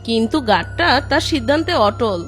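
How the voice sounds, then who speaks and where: Woman narrating a cartoon story, high lively voice, scripted, music behind. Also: normal speed.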